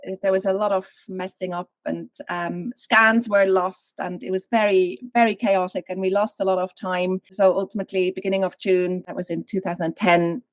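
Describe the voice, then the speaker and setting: A woman's voice: medium pitch, moderate pace, few pauses, dry recording. Woman from Switzerland telling her story. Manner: candid, animated storytelling with frequent laughs. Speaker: guest. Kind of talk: interview, personal story.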